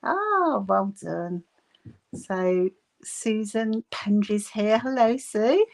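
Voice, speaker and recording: A woman's voice: medium pitch, lively intonation, extremely slow, vocal fry. A woman with a Bristolian accent chats her way through a live crafting session. She giggles often and greets her viewers by name. She is recorded on a phone set on her desk at home. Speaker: craft livestream host. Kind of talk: casual live craft chat. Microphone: phone camera on desk.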